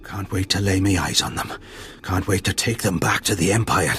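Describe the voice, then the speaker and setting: A man's voice: sits low, moderate pace, a bit bright, faint music behind. An actor playing a self-serving, petulant male Imperial agent in a video game, huffy and clipped. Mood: eager. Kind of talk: video game cutscene acting.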